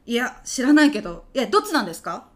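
A woman's voice: on the high side, sing-song, fast. A woman acting as a baffled, blunt housewife in a comic radio drama, questioning with open disbelief. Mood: creeped out, incredulous.

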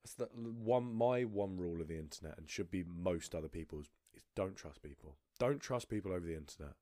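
A man's voice: deep voice, animated, fairly quick, dark tone, no echo, studio quality. A young man talking casually on his own podcast, rambling with frequent restarts. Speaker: podcast host. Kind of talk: casual solo podcast monologue.

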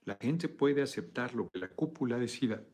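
A man's voice: mid-range voice, expressive, normal speed, darkish tone, breathy edge. A middle-aged man hosting a casual political video chat, answering viewers in an emphatic, insistent way. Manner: emphatic, argumentative, repeats points insistently.

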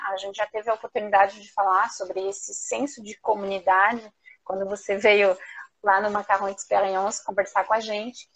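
A woman with a Brazilian Portuguese accent speaking as a guest in a live online interview, telling personal stories in a talkative, expressive, conversational way. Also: medium pitch, low-quality recording. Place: remote video call.

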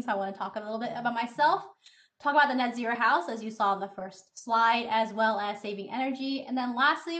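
A woman's voice: a little high, a bit bright. A woman in her thirties, a scientist, giving a friendly, explanatory online presentation to students over a video call. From Taiwan, raised in the United States. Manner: friendly, explanatory, with filler uhs. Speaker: scientist giving a talk to kids.

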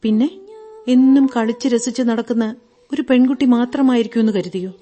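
An actress playing a hurt young wife in a radio drama, pleading to be understood, plaintive and guarded. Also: female voice, a little high, a little fast, mellow, lightly acted. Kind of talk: Malayalam radio drama acting.

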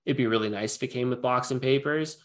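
A man's voice: medium pitch, fast talker. Easygoing man chatting casually. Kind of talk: casual conversation.

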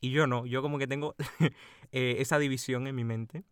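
A man's voice: medium pitch, steady tone, moderate pace, smooth, no echo, studio quality. A young man from Cuba who speaks with a Madrid Spanish accent, co-hosting a casual podcast chat and explaining at length with frequent laughs. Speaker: podcast co-host.

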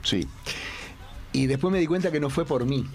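A man on a casual panel chat, telling a story in a reflective, measured way. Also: medium pitch, lively intonation, a little mellow, faint music behind. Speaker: TV presenter guest.

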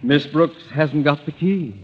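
A man's voice: a little high, measured pace, close to an everyday voice. Guy acting a grumpy middle aged american principal, pompous and blustery, dull old radio sound. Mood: exasperated. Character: pompous, irascible middle-aged male high school principal. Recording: muffled vintage radio recording, faint noise.

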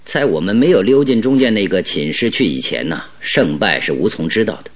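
Radio drama detective: very low voice, shrewd, exacting, deliberate and commanding. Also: male voice, animated. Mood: grave, deliberating. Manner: deliberate, instructing, emphatic. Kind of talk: Mandarin radio drama acting.

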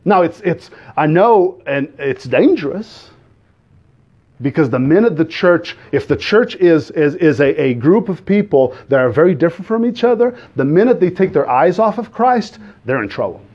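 A man from the Azores with a Portuguese accent, a native Portuguese speaker preaching a sermon in an earnest, halting way, often restarting and putting questions to the congregation. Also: fairly high pitch, very animated, darkish tone, slightly rough, muffled recording. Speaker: guest preacher.

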